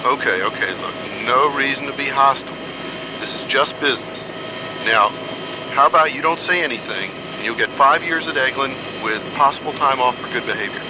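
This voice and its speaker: A man's voice: medium pitch, lively intonation, a little fast, lightly acted. A man playing a slick, exasperated government negotiator in a satirical radio play, cajoling and businesslike. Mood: placating. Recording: radio play with loud aircraft noise.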